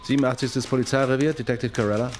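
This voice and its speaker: An actor playing a calm, considerate police detective, measured and matter-of-fact, in a radio crime drama. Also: male voice, medium pitch, background noise, natural voice. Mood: polite, businesslike. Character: calm, considerate police detective, firm but sympathetic questioner.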